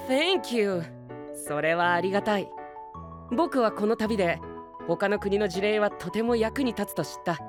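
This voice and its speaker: A man playing an earnest, boyish gentleman knight who speaks formally and effusively in an anime audio drama, tossing in English exclamations. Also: high-pitched, lively intonation, a little slow, over music. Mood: polite, eager.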